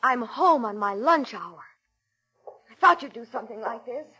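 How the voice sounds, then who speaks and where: An anxious young American wife, high-pitched and long-suffering. Her pleading, emotional lines swing widely in pitch, in the manner of 1940s radio drama. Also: animated, normal speed, bright, forceful tone. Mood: reproachful.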